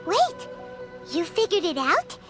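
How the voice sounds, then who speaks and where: A woman voicing a small, excitable fairy companion in a video game, squeaky and childlike, blunt and curious. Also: takes it slowly, full character voice. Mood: surprised, curious. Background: game music.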